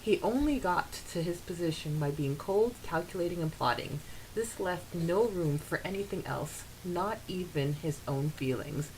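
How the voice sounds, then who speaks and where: Giggly woman podcast host, kinda low voice, chatting about a book, bit of background hiss. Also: normal speed, a little mellow, poor audio. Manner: giggly, often laughing. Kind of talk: casual book discussion with read-out quotes. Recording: hissy background noise.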